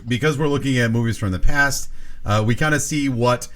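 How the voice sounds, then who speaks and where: A man co-hosting a movie podcast, asking questions in a friendly, halting way full of fillers. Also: medium pitch, a bit bright. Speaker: co-host. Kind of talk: casual podcast chat.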